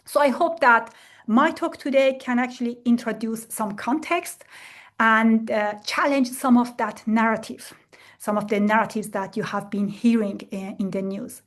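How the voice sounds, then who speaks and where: A woman from Iran giving a lecture with slides, speaking in a measured way with many fillers. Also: on the high side. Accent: Iranian.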